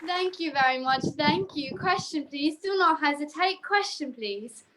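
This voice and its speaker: Woman playing a very high-voiced stage memory marvel. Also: lively intonation, unhurried, crowd noise. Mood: poised, showy. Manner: formal, precise, showy. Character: poised, formal stage memory marvel answering audience questions. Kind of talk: radio play acting, stage act patter. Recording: video call with faint noise, clicks.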